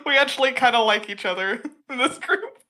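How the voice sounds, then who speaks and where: Giggly, animated young woman. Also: a little fast. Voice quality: slightly deep voice for a woman. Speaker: player. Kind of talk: casual chat while playing a tabletop game.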